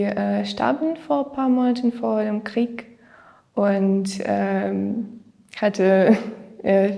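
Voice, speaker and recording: Young woman, documentary interview, hesitant, slow, low mellow tone. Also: gravelly. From Ukraine. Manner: hesitant, many fillers, slow and searching for words. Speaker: interviewee, dancer.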